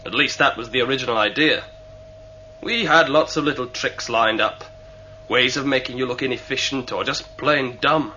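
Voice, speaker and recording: A man's voice: medium pitch, moderate pace, pushed and bright, natural voice. An actor playing a cocky, sneering male spacer, a scheming mutineer who needles his captors in a sci-fi radio drama. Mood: scheming, boastful. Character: sly, taunting male mutinous spacer held captive. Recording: muffled, hissy old radio recording with hum.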